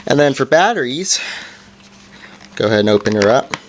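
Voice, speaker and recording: A man giving a casual hands-on product review, talking in a halting way and correcting himself as he goes. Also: mid-range voice, animated.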